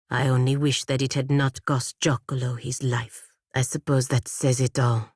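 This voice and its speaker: A woman playing a proud, stern, principled warrior in a video game, with formal, measured phrasing and a dryly sardonic edge. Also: very deep voice, a little mellow, no echo, studio quality. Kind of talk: video game character dialogue.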